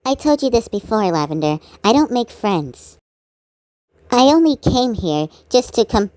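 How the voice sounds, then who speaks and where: A woman's voice: a little high, very animated, measured pace, darkish tone, dry recording. A woman voicing a grumpy, reluctant loner in an amateur cartoon, grouchy and stammering when pressed. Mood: sullen.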